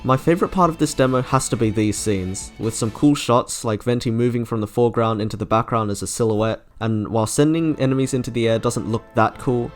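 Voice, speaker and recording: A man's voice: mid-range voice, a little fast, hardly any pauses. Man narrating a video over music. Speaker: video host reviewing game character trailers. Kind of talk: scripted narration, commentary. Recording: music under the voice. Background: background music.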